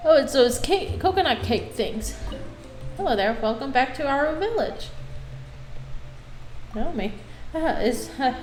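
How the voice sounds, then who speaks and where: A woman's voice: a little high, a little mellow. A woman livestreaming a video game, chatting casually and reading dialogue aloud. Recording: roomy echo, music behind.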